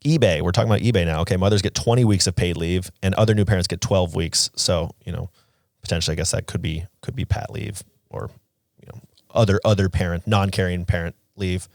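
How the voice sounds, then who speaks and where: A man's voice: deep voice, fast, creaky, darkish tone, dry recording, clean studio sound. A man in his thirties co-hosting a podcast, talking casually and giving opinions with plenty of "like" thrown in. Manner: conversational, opinionated, peppered with "like". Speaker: podcast co-host.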